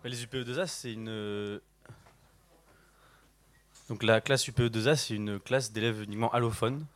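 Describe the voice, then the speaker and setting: A man's voice: lowish voice, steady tone, moderate pace. A man on a radio show, explaining a project in a loose, casual way with restarts. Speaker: radio guest presenting a project.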